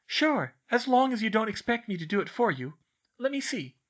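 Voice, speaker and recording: Seeking a man to play a smug, clever schoolgirl in a storybook, high and lilting, explaining things matter-of-factly. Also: big pitch swings, a bit bright. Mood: brisk, explanatory. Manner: brisk, explanatory, matter-of-fact. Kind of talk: audiobook character voice.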